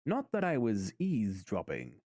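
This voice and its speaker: An actor playing a dutiful, courteous butler and confidant in an audio drama, speaking formally and with measured words. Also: male voice, fairly low pitch, huge pitch swings, creaky, darkish tone, no echo, clean studio sound, heavily acted. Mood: courteous, reassuring.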